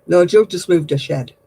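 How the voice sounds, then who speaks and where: An older woman chatting casually on her craft livestream, answering viewers in a low, husky voice and a rambling, gossipy way. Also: mellow, rough recording. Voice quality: low, husky voice after throat surgery.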